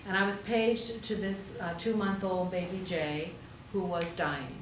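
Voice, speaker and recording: A woman's voice: lowish voice, steady tone, a little slow. A woman pastor giving a storytelling sermon in a conversational way, with rhetorical questions and emphatic repetition. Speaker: pastor preaching, also a hospital chaplain. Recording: roomy, distant pickup with faint noise.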